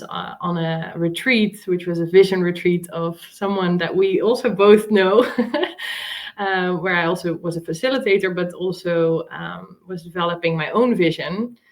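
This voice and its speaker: A middle-aged woman with a Dutch accent, a native Dutch speaker, telling her story casually as an interview guest. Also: fairly low pitch. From Netherlands. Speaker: interview guest, venture capital coach and founder.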